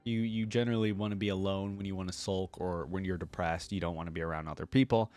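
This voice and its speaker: A man's voice: low voice, fairly even intonation, quick pace, darkish tone, faint music behind. A man giving casual reaction commentary as a video host, rambling conversationally and often checking in with the listener.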